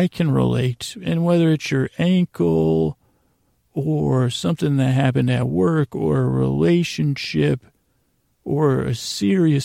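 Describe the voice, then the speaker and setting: A man hosting a soothing bedtime podcast in a lulling, meandering monologue, halting with odd pauses and repeated words. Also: a little high, mellow, dry recording, clean studio sound. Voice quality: lulling, creaky drone. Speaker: podcast host.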